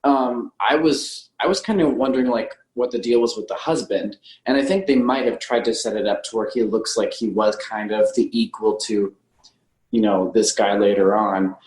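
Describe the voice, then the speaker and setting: A man's voice: fairly low pitch, fairly even intonation, normal speed, a little mellow. A man taking part in a casual podcast film discussion, speaking in a tentative, reflective way. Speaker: podcast panelist reviewing films. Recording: roomy, echoey sound.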